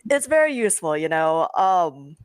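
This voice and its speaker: A woman's voice: deep voice, sing-song, normal speed, smooth, no room sound, studio quality. An American woman chatting informally as a guest creator on a panel, hedging often with filler phrases. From United States. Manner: informal, hedging, frequent "like" and "you know". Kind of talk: casual panel discussion.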